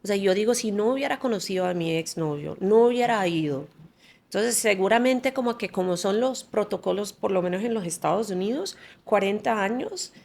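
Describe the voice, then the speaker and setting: A woman from the United States in her forties whose first language is English, telling her story as a podcast guest in an animated way, laughing often and restarting her phrases. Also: medium pitch, moderate pace, gravelly. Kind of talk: candid conversational storytelling.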